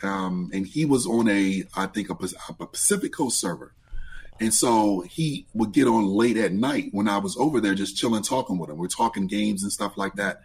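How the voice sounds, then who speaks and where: Young man, raspy, husky, lowish voice, casual storytelling guest, video call mic. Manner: relaxed storytelling, lively pitch jumps when excited. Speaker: guest, streamer. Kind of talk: casual interview chat.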